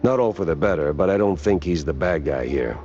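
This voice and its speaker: Very deep-voiced, wry noir detective. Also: male voice, animated, fairly quick, a little mellow, dull audio, soft background music. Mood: dry, weary. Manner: wry, laconic, hesitant drawl. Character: world-weary wisecracking male noir private detective, cartoon mouse. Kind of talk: 1930s hardboiled detective game acting.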